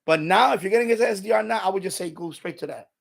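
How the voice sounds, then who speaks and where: A man with a fairly high voice and a noticeable creak chats off the cuff as a livestream host. He is opinionated and emphatic, often saying the same phrase over again to press his point. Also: rapid-fire. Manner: repeats himself for emphasis. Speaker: live stream host. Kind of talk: unscripted radio hobby livestream chat.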